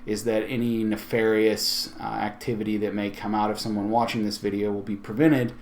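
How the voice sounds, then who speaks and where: A man hosting a software screencast tutorial, explaining in a conversational way as he thinks aloud. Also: on the low side, flat delivery, a little fast, a little hiss or hum. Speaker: tutorial host.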